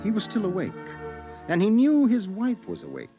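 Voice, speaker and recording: A man's voice: high voice, wildly swooping pitch, moderate pace, dull audio, heavily acted. A man narrating a 1950s radio drama as a theatrical, ominous storyteller. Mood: ominous storytelling. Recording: vintage radio recording with music behind.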